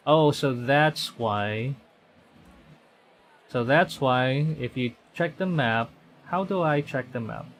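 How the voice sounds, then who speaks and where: A man's voice: medium pitch, slow, long pauses, slight background noise. Man streaming a visual novel. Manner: conversational, stumbles and corrects himself while reading. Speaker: VTuber streamer. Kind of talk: reading visual novel text aloud on stream.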